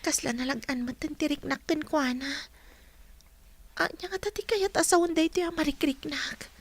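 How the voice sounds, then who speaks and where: An actress in a radio drama playing a young woman in love, speaking softly and earnestly. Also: high-pitched, normal speed. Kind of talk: broadcast radio drama acting.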